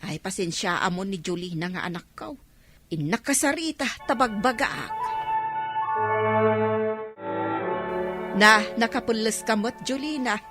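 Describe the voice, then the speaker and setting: A kindly mother in an Ilocano radio drama. She has a medium-pitched voice and an Ilocano accent, gentle and apologetic in manner, with music in the mix. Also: animated, moderate pace. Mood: apologetic, concerned. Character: kindly, apologetic mother covering her daughter's debt.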